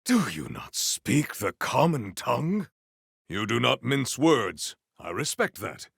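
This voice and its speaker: An actor playing a proud, honorable warrior in a video game, speaking in a stately, theatrical, formal way. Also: male voice, medium pitch, sing-song, takes it slowly, vocal fry, fairly bright tone, breathy edge, no echo, studio quality, heavily acted. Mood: stoic, formal. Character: proud, honorable, formal warrior, adult man, earnest and grandiose. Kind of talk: video game character voice lines.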